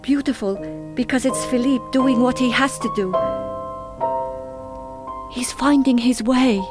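A woman playing a gentle, appreciative character in a radio play. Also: high voice, measured pace. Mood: tender, moved. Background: piano playing.

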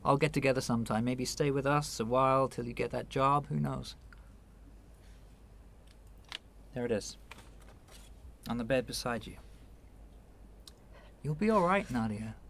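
A man's voice: medium pitch, moderate pace, gravelly, long pauses, fairly bright tone. Earnest, patient man played by an actor, noisy recording. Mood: reassuring. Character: earnest, patient man gently persuading a younger relative. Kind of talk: naturalistic radio play acting.

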